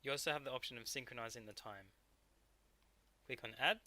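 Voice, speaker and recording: Bright, crisp-edged man giving instructions. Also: medium pitch, brisk, no room sound. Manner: instructional, step-by-step. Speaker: technical instructor. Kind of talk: scripted software tutorial narration.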